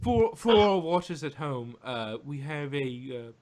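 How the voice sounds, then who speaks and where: A man hosts in loose, casual banter. His voice sits a bit high and swings widely in pitch, while his delivery is slow, hesitant and full of repeated starts. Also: very animated, a little mellow. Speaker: host of an audio drama review.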